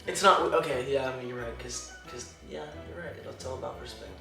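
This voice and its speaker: A young man with a raspy, scratchy voice, chatting casually and laid-back with his wife. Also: mid-range voice, quick pace. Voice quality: scratchy, raspy voice often taken for a woman's. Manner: laid-back, rambling, trails off softly. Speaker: vlogger, husband. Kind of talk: casual chat. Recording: roomy, distant mic with music.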